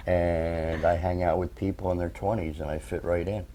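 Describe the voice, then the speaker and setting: A man in his sixties hosts a relaxed interview with a deep, mellow voice. He jokes around and keeps the talk light and playful. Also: moderate pace. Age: 60s. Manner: jokey, playful. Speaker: host. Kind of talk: casual interview.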